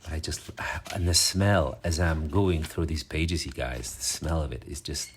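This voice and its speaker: A man's voice: a bass voice, measured pace, slight background noise. A man from Morocco, talking casually and fondly as he leafs through an old magazine, rambling with fillers and restarts. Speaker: vlogger. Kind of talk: casual show-and-tell vlog.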